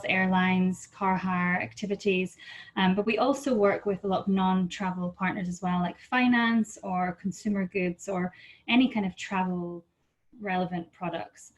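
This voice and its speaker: A woman with a light Scottish accent presenting over a video call in a friendly, businesslike way, with some ums. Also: mid-range voice. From Scotland. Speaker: media partnerships lead presenting. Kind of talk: webinar presentation.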